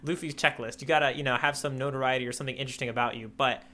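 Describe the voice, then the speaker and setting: Bright, thoughtful male podcast host. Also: mid-range voice, steady tone, racing. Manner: thoughtful, hesitant, many ums and restarts. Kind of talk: casual podcast chat.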